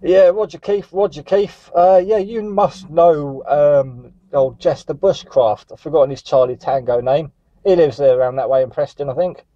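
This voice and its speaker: A man's voice: on the high side, normal speed, no room sound. Man, CB operator, radio contact chat, close dry mic, dull recording. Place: static vehicle on a seafront headland.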